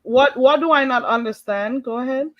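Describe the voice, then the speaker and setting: A woman's voice: high-pitched, normal speed, no echo. A woman from the Caribbean hosting a casual live chat, firm and often cutting in. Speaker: host of a live audio room. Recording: dull, dry lo-fi sound.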